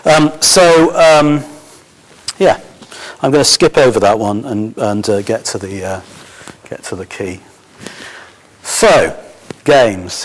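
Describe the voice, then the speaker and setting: A man giving a conference talk as a film school course leader, halting and full of fillers and restarts, his voice a little hoarse. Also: fairly low pitch. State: slightly hoarse.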